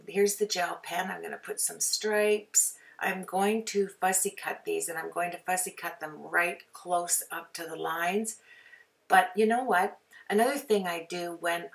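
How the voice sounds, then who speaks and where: Raspy low-voiced woman rambling through a card coloring tutorial, sounds a bit far from the mic. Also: a bit bright. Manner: chatty, rambling, with frequent fillers. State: raspy from a sore throat. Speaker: card-making tutorial host. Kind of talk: casual voice-over for a craft video.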